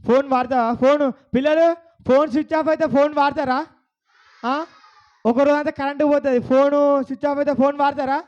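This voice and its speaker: A man's voice: very high voice, little pitch movement, brisk, a little mellow, highs cut off. A man hosting a kids' bible puppet show, asking the children questions over and over.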